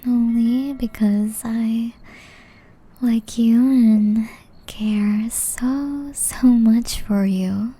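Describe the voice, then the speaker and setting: A woman voicing a gentle, caring young witch in love, whispering softly and tenderly in an ASMR romance roleplay. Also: on the high side, steady tone, full character voice. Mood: earnest, loving. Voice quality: soft, breathy whisper. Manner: slow, tender, intimate delivery.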